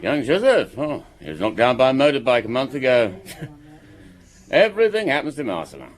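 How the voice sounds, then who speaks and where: An actor playing a sociable, gossipy village innkeeper in a radio drama, chatty and confiding. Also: male voice, medium pitch, wildly swooping pitch, normal speed.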